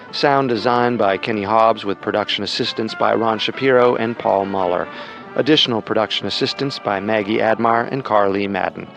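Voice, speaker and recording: A man narrating a scripted true crime podcast in a measured, dramatic way. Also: on the low side, vocal fry, rough recording.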